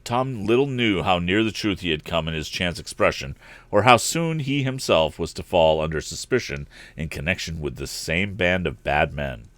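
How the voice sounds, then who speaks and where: A man narrating an adventure novel aloud as a storyteller, with an expressive read-aloud delivery. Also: low voice, normal speed, no echo. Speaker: storyteller, live stream host.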